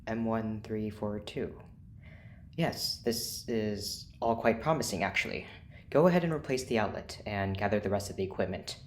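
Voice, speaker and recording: An actor playing a formal, clinical research doctor in an audio drama, speaking in a precise, measured way. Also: medium pitch, a little hiss or hum, natural voice. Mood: businesslike. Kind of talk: scripted audio drama acting.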